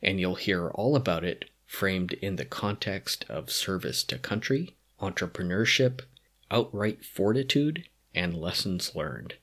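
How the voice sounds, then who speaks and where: A man hosting a podcast interview, upbeat and quick to laugh. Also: sits low, very animated, fairly bright tone. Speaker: podcast host.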